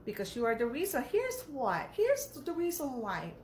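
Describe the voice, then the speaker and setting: A woman's voice: high-pitched, huge pitch swings, normal speed, darkish tone, echoey room, slight background noise. A Filipino woman with a Filipino accent, scolding emphatically in a ranting video reply. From Philippines.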